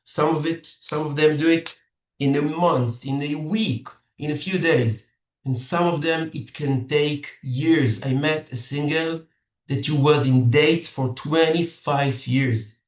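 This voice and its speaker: A man's voice: on the high side, steady tone. Earnest Israeli man on a roomy call. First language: Hebrew. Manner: earnest, halting non-native phrasing with many restarts. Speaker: dating coach for singles. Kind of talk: lecturing to parents over video call. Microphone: video call. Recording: distant, roomy, dull video-call audio.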